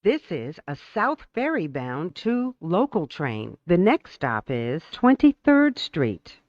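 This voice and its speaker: An announcer reads scripted subway announcements slowly and formally, with big swings in pitch. The words are measured and carefully enunciated. The sound is dry and somewhat dull. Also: wildly swooping pitch, dry recording. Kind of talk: scripted automated transit announcements.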